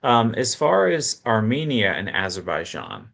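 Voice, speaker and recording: Low-voiced man moderating a live panel. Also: sing-song, a little slow, vocal fry, a bit bright. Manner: direct, moves things along. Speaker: host.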